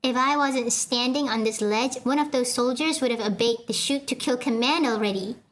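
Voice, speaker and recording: A woman's voice: a little high, moderate pace, fairly bright tone, close to an everyday voice. A woman voicing a stern male career army general who speaks bluntly and steadily. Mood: resolute.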